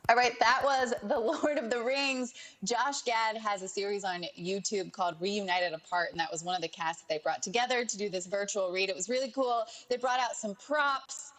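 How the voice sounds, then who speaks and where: A woman's voice: mid-range voice, fairly even intonation, normal speed, hardly any pauses, fairly bright tone, rough recording. A woman presenting a conversational entertainment segment on television.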